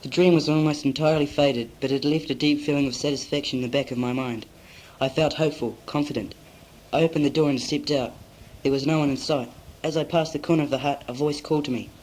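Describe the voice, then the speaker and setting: A man's voice: a little high, steady tone, fast, a little mellow, natural voice. A teenage boy playing a weary, solitary man who tells his story in scripted first-person narration on a noisy amateur cassette recording. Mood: grave. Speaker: narrator and lead in a school drama.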